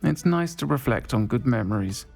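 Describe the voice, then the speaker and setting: An actor playing a kindly, reflective, grateful man in a video game, with a gentle, understated, articulate manner. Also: medium pitch, animated, moderate pace, vocal fry, music in the background.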